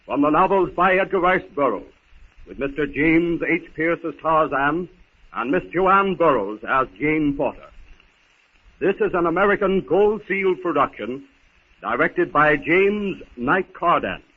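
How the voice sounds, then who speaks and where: A man's voice: a little high, expressive, a little slow, breathy edge. A man narrating a 1930s radio serial as its announcer, dramatic and formal. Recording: tinny, noisy 1930s radio recording.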